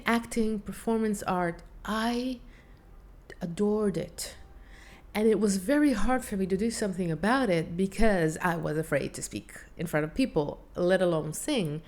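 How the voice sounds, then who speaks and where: A woman's voice: medium pitch, darkish tone. A woman with a Hebrew accent, a language teacher and vocal coach, speaking English as a second language in an earnest, reflective way, with small slips. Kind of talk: personal talk to camera, one take.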